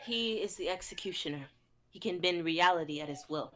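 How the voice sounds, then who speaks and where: A woman's voice: lowish voice, brisk, fairly bright tone, natural voice. A young woman playing a blunt, capable spell-casting warrior in an amateur script reading, firm and matter-of-fact. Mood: blunt, urgent. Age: young adult.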